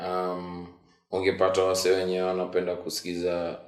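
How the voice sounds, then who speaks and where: A Kenyan man in his forties, a musician guest telling stories in a relaxed way, switching between English and Swahili slang. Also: deep voice, normal speed. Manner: relaxed storytelling, code-switching. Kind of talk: casual interview in Sheng and English. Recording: roomy echo.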